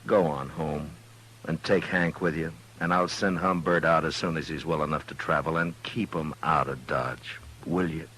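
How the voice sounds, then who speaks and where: An actor playing a stern, weary American frontier marshal, terse and authoritative, in an old-time radio western. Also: male voice, a bass voice, steady tone, moderate pace, a bit bright, slight background noise, rough recording. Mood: coaxing.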